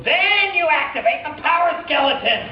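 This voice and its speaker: A woman's voice: high voice, lively intonation, moderate pace, slight background noise. A woman voicing a theatrical, self-pitying clockwork tailor machine in an audio drama. Heard in a distant, roomy, dull recording. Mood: explaining.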